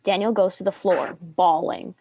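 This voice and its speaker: A woman's voice: lowish voice, steady tone, moderate pace, dark tone, dry recording. A young adult woman reading scripted stage directions as a narrator.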